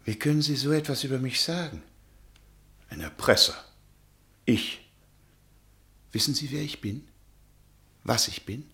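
An actor playing a naive young scholar who speaks earnestly and pleads, in a radio play. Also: male voice, medium pitch, unhurried, long pauses, fairly bright tone. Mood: anxious.

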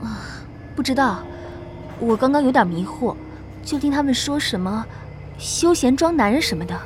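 A woman's voice: sits high, animated, moderate pace, faint music behind. An actress playing a vague, hesitant young female passenger in an audio horror drama. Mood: hesitant, polite.

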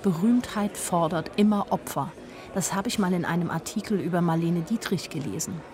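A woman's voice: lowish voice, normal speed, a little mellow, natural voice. An actress playing a naive, wryly observant young woman, narrating a radio drama in a dry, matter-of-fact way. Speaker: first-person narrator.

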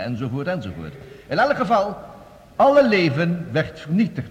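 An actor playing an assured, persuasive male scientist, explaining formally and emphatically in a science fiction radio play. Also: on the high side. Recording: reverberant hall, radio drama production.

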